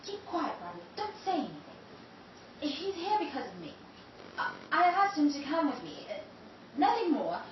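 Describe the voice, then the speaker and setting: A woman's voice: high voice, sing-song, moderate pace, very echoey, distant mic, dull audio, slight background noise. An actress playing a scheming, defensive married woman who stammers evasively, in a 1930s-style radio drama. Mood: guarded.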